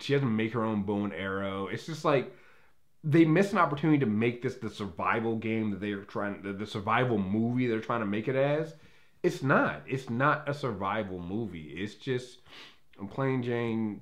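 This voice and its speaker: An American man reviewing a film off the cuff in an emphatic, conversational way, often circling back to rephrase his points. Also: medium pitch. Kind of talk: unscripted opinionated movie review.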